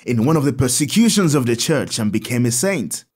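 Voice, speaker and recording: An actor playing a kindly priest in an audio drama, telling saints' stories in a measured, expressive, instructive way. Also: male voice, medium pitch, slightly rough. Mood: reverent, storytelling. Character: kindly priest, Father Tad, teaching children about saints.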